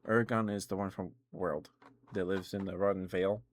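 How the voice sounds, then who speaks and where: Low-pitched man in casual ASMR chat. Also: fairly even intonation, brisk, a little hiss or hum. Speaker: live streamer. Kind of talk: casual rambling ASMR chat about video games.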